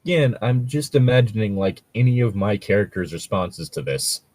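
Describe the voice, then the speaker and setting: A man in a casual, jokey chat. His voice sits fairly low and has a husky edge, swinging widely in pitch as he talks. The sound is close and dry. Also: normal speed, no room sound. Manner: animated, jokey, swears casually. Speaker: co-host chatting about tabletop roleplaying.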